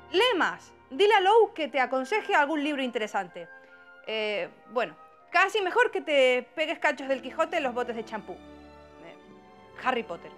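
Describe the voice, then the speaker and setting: An actress plays a self-pitying, sarcastic young woman with a Spanish accent from Spain. Her high voice is very animated, full of comic drama and grumbling, with music playing underneath. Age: young adult. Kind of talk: scripted comedy monologue.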